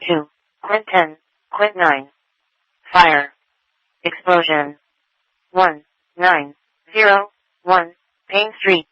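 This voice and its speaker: A high male announcer voice delivering a fire alert very slowly, word by word. It is formulaic and staccato, heard through band-limited scanner radio. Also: pushed and bright, no room sound, dull audio. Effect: two-way radio. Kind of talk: station alert, listing units and address word by word.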